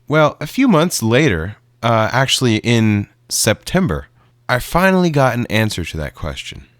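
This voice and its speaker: A man's voice: on the low side, dry recording, clean studio sound. A man giving a casual, conversational explanation to viewers in the manner of a hobbyist video creator.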